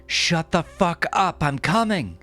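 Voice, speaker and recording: A man voicing a furious, foul-mouthed tough policewoman, yelling aggressively in an audio drama. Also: on the high side, big pitch swings, slow pace, faint music behind, pitched above the performer's own voice, heavily acted. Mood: enraged. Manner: shouted, profane, aggressive.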